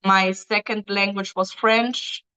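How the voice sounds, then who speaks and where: A woman's voice: medium pitch, flat delivery, takes it slowly, pushed and bright, clean tone, poor audio. Woman hosting a live Q&A. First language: German. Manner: reads questions aloud, answers in loose non-native phrasing with many ums. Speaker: dating agency host answering viewer questions. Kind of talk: live-stream Q&A, casual.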